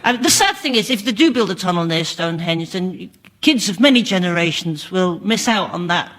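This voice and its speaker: High-pitched man, rambling comic panel chat, mock-exasperated, noticeable background noise. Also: expressive, brisk. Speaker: comedy panel show panellist. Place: radio show before live audience.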